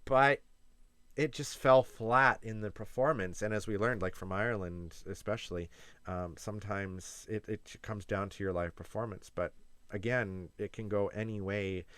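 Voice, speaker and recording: A young man from Canada talks through his reactions in a loose, chatty way, hedging and restarting as he weighs his opinions. His voice sits low and comes across smooth and resonant. The sound is close and dry, with no room around it. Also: fairly even intonation, moderate pace, fairly bright tone, no room sound. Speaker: YouTube reaction host. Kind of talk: casual reaction commentary.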